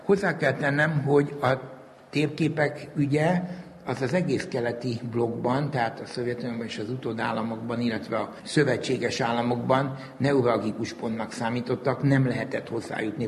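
Male interviewee, mid-pitched, detailed explanatory recollection, recorded in a long-reverb room with faint noise. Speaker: interviewee recalling the history of maps. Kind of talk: spoken reminiscence, explanatory.

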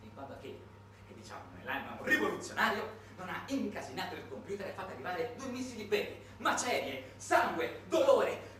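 A man delivers a satirical narrative monologue in a theatrical, highly varied voice. His delivery is dramatic and changeable. He is heard from far off in a very reverberant space, with clear noise on the recording. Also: very animated, a little fast. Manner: dramatic delivery swinging between hushed and shouted. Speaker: stage monologist, writer-performer. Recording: echoey, noisy recording from a distance.

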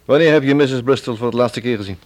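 An actor playing a calm, probing police inspector in a radio play, speaking in a measured, matter-of-fact, drily wry way. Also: male voice, mid-range voice, close to an everyday voice.

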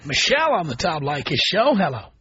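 A man's voice: a little high, very animated, fairly quick, muffled recording, crowd noise. A man hosting a call-in radio talk show, blunt and opinionated with callers.

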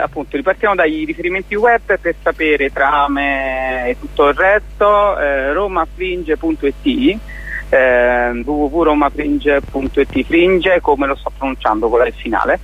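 A man speaking casually as an interview guest and festival organiser, in an informal, halting way, often searching for words. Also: a little high, phone-quality sound.

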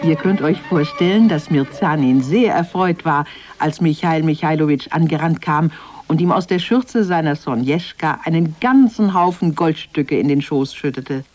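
A woman's voice: sits low, moderate pace, darkish tone, faint music behind. A woman narrating a scripted fairy tale for children in a radio play.

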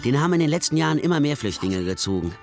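An actor playing a cynical, self-pitying drug dealer on the run in a radio crime drama, sardonic and self-justifying. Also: male voice, on the high side, big pitch swings, rapid-fire, gravelly. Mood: smug. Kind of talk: German radio crime drama, dialogue and narration. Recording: music behind the voice.

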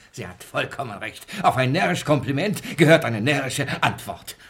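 Gravelly, blustering old miser. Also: male voice, medium pitch, expressive, moderate pace, a bit bright. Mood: wheedling, grumbling. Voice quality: husky, gravelly. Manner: stammering, blustering. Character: stingy old father, irascible miser courting a young woman. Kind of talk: classic German radio comedy acting.

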